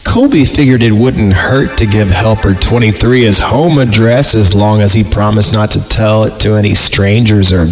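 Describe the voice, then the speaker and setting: A man's voice: fairly low pitch, normal speed, few pauses. An American man hosting a podcast, reading a story aloud in a mock-dramatic style with irreverent, joking asides. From Fresno, California. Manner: irreverent, jokey. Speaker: podcast host reading horror stories aloud. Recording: music bed behind the voice. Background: music.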